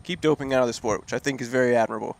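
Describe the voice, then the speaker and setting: A man calmly commentating on a live freediving competition, admiring and easygoing, with frequent filler pauses. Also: medium pitch, vocal fry. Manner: relaxed, admiring, many fillers. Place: outdoors at a seaside dive event.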